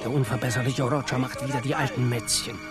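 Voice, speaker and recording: A man's voice: mid-range voice, fairly even intonation, quick pace, natural voice. A male actor playing an impatient, vengeful wizard in a children's radio drama, scornful and indignant. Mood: fuming. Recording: music behind the voice.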